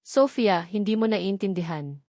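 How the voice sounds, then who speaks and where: A woman's voice: medium pitch, lively intonation, measured pace, darkish tone, no room sound, studio quality. A woman with a Filipino accent voicing a serious man who placates his fiancée in a romance story, earnest in manner. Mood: serious, placating.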